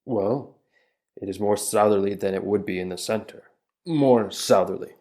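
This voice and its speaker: A man's voice: fairly low pitch, normal speed, mellow, lightly acted. A man playing a plain-spoken 1890s witness under cross-examination, giving short, polite answers. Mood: steady. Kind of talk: dramatic reading of a trial transcript.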